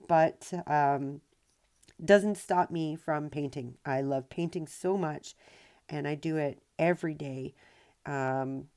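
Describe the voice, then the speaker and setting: Low-voiced woman, nasal from a cold. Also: gravelly, a little mellow. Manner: chatty, with many ums and uhs. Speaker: rock painting tutorial host. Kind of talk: casual voice-over tutorial narration.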